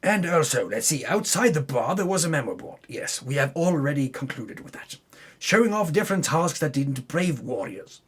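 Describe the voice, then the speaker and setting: A man's voice: fairly high pitch, big pitch swings, moderate pace, slightly rough. A man narrating a tabletop campaign recap as a dramatic storyteller, with theatrical delivery and casual asides.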